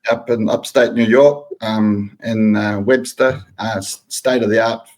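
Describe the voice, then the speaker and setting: A man's voice: on the low side, fairly even intonation, unhurried, darkish tone. A middle-aged man with a New Zealand accent, a guest talking through his career in a matter-of-fact way. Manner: matter-of-fact, lists things steadily. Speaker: guest, food safety professional. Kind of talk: casual interview.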